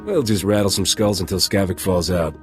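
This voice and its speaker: A brash starship smuggler captain , acted by a man, has a very deep voice and a dry, laconic delivery. He sounds tough and cocky, as a video game hero, over faint music. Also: brisk, lightly acted.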